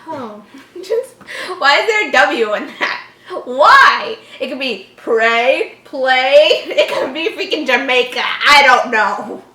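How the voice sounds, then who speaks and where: A woman's voice: sits high, lively intonation, slow, sharp tone, roomy sound. A woman reading a horror story aloud casually, giggly and reactive, breaking off to joke and comment.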